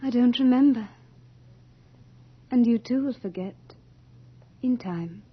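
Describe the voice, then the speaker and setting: An actress playing a young, beautiful female Death in a radio horror drama, theatrical and deliberate, capricious and longing to be mortal. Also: fairly high pitch. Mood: eager, yearning. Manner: theatrical, deliberate, breathy at moments. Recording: dull old radio drama with music.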